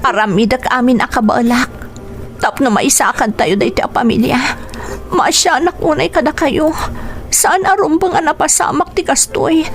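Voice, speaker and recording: A woman's voice: high voice, moderate pace, faint music behind. A woman acting a bitter, resolute young eldest daughter in a Filipino radio drama, emotional and earnest, with a Filipino accent. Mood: tearful resolve.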